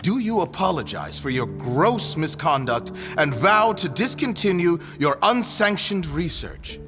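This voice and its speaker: A man's voice: on the high side, big pitch swings. A man playing a pompous, stern vice chancellor of a scholars' guild in an audio drama, speaking formally over music. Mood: stern, commanding. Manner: pompous, formal, measured delivery. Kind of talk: scripted audio drama acting. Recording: music behind the voice.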